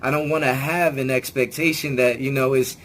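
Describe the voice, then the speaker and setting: A man talking straight to his viewers in a rambling self-help vlog, often restarting words and swearing casually, his voice still hoarse as it comes back. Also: medium pitch, steady tone, normal speed, fairly bright tone, a little hiss or hum. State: hoarse, regaining lost voice. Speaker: video channel host.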